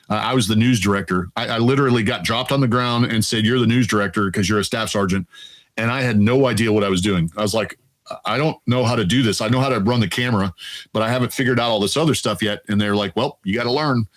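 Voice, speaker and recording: A man in his fifties with a deep, resonant voice, a veteran guest telling stories in a relaxed, chatty way. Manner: relaxed, chatty, quotes himself and laughs easily. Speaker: Air Force veteran guest. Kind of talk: casual storytelling interview.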